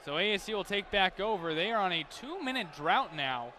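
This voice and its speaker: A high-voiced American man calls a basketball game live. He follows the action in running detail as the play-by-play announcer. Clear arena noise sits behind his voice. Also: expressive, fairly quick. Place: courtside in a large basketball arena.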